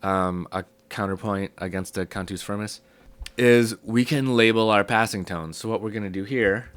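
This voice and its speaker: Man teaching theory while sick, low rough voice, relaxed ums, clean and dry. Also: normal speed, dry recording. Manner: relaxed, thinking aloud with many ums. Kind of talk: casual spoken lesson.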